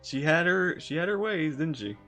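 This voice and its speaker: A woman giving casual livestream reaction commentary on a game, halting, informal and giggly. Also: deep voice, animated, fairly bright tone. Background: game music.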